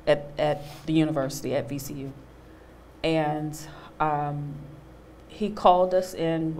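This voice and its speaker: A woman in her thirties on a studio panel, telling a personal story with animated quoted voices. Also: sits low, slight background noise. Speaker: panel guest, actor. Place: studio stage with a small audience.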